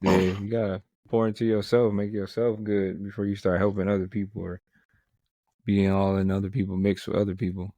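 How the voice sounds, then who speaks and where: A man's voice: lowish voice, flat delivery, a little fast, warm tone, no echo. A man co-hosting a casual podcast, asking loose, teasing questions in heavy slang. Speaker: co-host and trainer.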